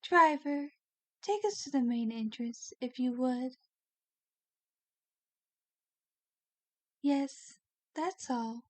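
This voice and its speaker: A woman playing a kindly, doting vampire lady in an ASMR roleplay, speaking gently with a genteel, coaxing manner. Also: high-pitched, slow pace, no room sound. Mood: polite, commanding. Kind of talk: ASMR roleplay, soft scripted acting.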